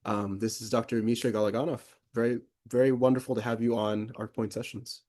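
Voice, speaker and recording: A man's voice: medium pitch, little pitch movement, fairly quick, a little mellow. A man speaking as host of a conversation show, introducing in an enthusiastic, conversational way. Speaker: podcast host.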